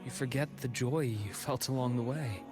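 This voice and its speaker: An actor playing a kind, thoughtful man in a video game, speaking in a gentle, measured, reflective way. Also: mid-range voice, natural voice. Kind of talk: dramatic video game dialogue. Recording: game audio under clear music.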